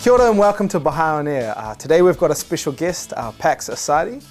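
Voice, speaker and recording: A man's voice: fairly high pitch, very animated, quick pace, a little mellow, faint music behind. A man hosting an interview, asking questions in a hesitant way that often starts with uh. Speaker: show host, interviewer.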